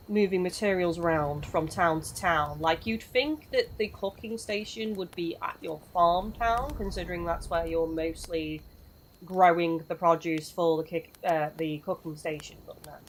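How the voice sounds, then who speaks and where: A woman's voice: fairly low pitch, slight background noise, poor audio. A young woman chatting through a live game stream, greeting viewers as she plays, her voice worn from losing it lately. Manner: chatty, rambling, chats with viewers. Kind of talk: live gameplay commentary.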